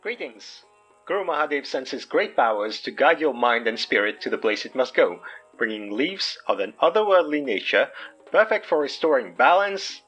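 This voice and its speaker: A man playing a smooth, hammy mystic guru in an audio drama, with grandiose, theatrical delivery. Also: mid-range voice, sing-song, normal speed, a bit bright, muffled recording, soft background music. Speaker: self-styled guru and herb seller. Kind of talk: audio fiction podcast acting.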